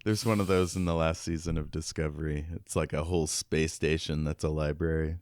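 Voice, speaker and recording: A man co-hosting a podcast, chatting casually as a fan in an easygoing way, with halting phrasing. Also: very deep voice, fairly even intonation, darkish tone. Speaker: podcast co-host. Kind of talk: casual sci-fi fan chat.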